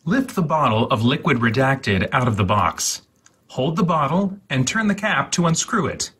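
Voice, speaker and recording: A man giving a deliberate, dramatic reading of step-by-step instructions with exaggerated enunciation, in a husky, breathy voice. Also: medium pitch, very animated, a bit bright. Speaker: host.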